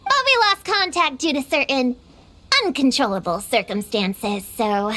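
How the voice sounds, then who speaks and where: A woman's voice: high-pitched, lively intonation, measured pace, pushed and bright, full character voice. A woman playing a proud, defensive young girl witch hunter in a video game, with a childlike voice and a self-important manner. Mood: awkward, bluffing. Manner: self-important, hesitant when bluffing.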